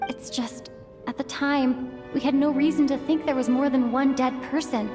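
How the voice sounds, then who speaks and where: Woman playing a thoughtful, higher-voiced young traveler. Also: fairly even intonation, music in the background, close to an everyday voice. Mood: pensive. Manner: measured, reflective, reasoning things through. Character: thoughtful, level-headed young female traveler. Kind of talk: video game cutscene acting.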